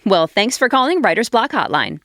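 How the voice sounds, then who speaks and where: An actress playing a brisk, reassuring hotline operator who hands out glib advice in a comedy sketch. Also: female voice, fairly high pitch, sing-song, fairly bright tone, dry recording, studio quality. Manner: businesslike, glib advice-giving.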